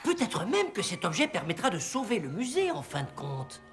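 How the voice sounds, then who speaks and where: An exasperated cartoon father who distrusts what he hears. His voice runs high and very animated, with a theatrical, scolding delivery. Music plays underneath. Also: moderate pace. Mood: intrigued.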